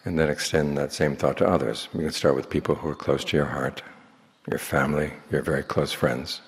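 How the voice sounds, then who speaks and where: A man speaking as a Buddhist monk, leading a guided meditation in slow, measured phrases with long pauses. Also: a bass voice, steady tone. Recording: long hall reverb.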